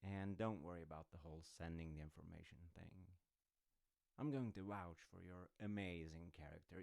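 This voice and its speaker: A man playing a sly secret agent in an intimate audio roleplay, musing and teasing with a falsely innocent air and thoughtful pauses. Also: deep voice, vocal fry, darkish tone, dry recording, studio quality. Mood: smug, reassuring. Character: smooth, sly male secret agent and possible turncoat. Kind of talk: intimate audio roleplay, addressing the listener as partner.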